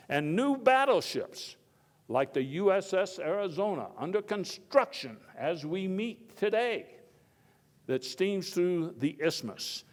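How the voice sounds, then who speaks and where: Man playing proud old statesman in a reverberant hall. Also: high voice. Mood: proud, hopeful. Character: elderly Theodore Roosevelt, proud, folksy, opinionated old statesman and outdoorsman. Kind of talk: live dramatized first-person historical speech. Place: indoor hall before a live audience. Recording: echoey hall with long reverb.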